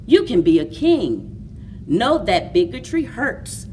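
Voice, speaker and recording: A woman leads a story time for young children in an expressive, very slow delivery. Her voice sits a little low but swings widely in pitch. She is picked up from some way off the microphone. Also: recorded from a distance, slight background noise. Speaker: library story time host. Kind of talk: children's picture book read-aloud and story time.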